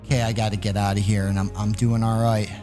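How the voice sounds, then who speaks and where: A man hosting a gaming video, giving excited, exclamatory gameplay commentary with playful mock alarm as he addresses his viewers. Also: lowish voice, fast, over music.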